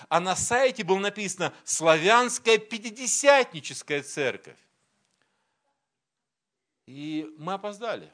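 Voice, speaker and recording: A middle-aged man from Siberia, a preacher telling a personal story in a sermon and acting out the dialogue. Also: high voice. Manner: storytelling, quoting dialogue, grows animated.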